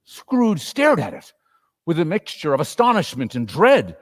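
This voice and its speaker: A man narrating a classic story as a dramatic reading, with expressive, theatrical delivery. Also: fairly high pitch, a little slow. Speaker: narrator and performer. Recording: reverberant hall.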